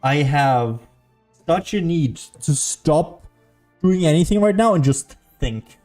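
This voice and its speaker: Slow, mellow male game streamer. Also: fairly high pitch, expressive, faint music behind. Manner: musing aloud, hums to self. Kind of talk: casual livestream commentary.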